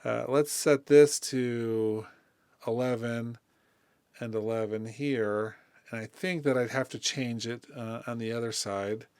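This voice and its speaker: Male tutorial presenter, casual and informal, thinking aloud, crisp tone, close dry studio sound. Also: medium pitch, fairly even intonation, takes it slowly, darkish tone, no echo. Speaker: music production tutorial presenter. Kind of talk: casual software demonstration.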